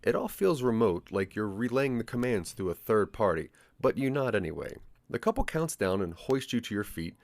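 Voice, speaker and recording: Male narrator for interactive audio drama, dramatic storyteller, lower register, animated delivery. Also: normal speed, creaky, hardly any pauses, dry recording. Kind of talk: scripted second-person audio drama narration.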